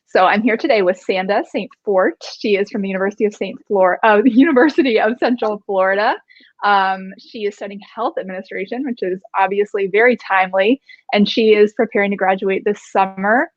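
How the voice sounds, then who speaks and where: A woman's voice: medium pitch, a little fast, dry recording. Female host, conversational and encouraging; dull, dry, lo-fi sound. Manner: warm, supportive, conversational. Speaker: interview host. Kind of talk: hosting an interview.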